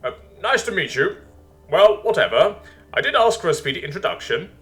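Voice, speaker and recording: A man voicing an irreverent, easily amazed Earth leader with a casual, wry, excitable manner in a story. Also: sits high, a little fast, faint music behind, pitched above the performer's own voice. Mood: awkward, dismissive.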